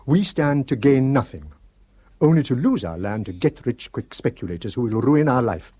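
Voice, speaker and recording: An actor playing an earnest Shetland father in a radio drama, speaking in a measured way. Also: medium pitch, very animated, dark tone, slightly rough, no echo. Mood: indignant. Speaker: islander on the committee.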